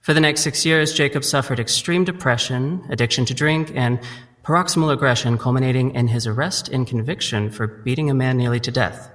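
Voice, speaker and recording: An actor playing an earnest young male medical resident, measured and politely formal. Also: medium pitch, a bit bright, big-room sound, natural voice. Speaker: resident doctor.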